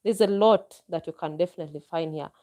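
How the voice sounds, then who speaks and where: A woman's voice: fairly low pitch, fast, dark tone. A Ugandan woman vlogger chatting casually and enthusiastically as she answers viewer comments, with frequent exclamations. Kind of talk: casual live chat.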